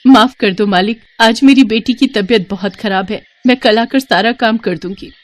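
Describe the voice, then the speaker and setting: A woman's voice: fairly high pitch, normal speed, dry recording, voice pushed up, natural voice. A woman voicing a meek, kind, sorrowful young widowed mother in a storybook tale, polite and plaintive. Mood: pleading.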